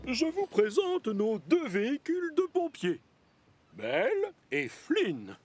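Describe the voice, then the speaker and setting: A man voicing a pompous, authoritative railway boss who explains things proudly in an animated children's cartoon. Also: very high-pitched, slow, breathy edge, soft background music, heavily acted. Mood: proud, official. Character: jovial, authoritative railway boss showing off his fleet.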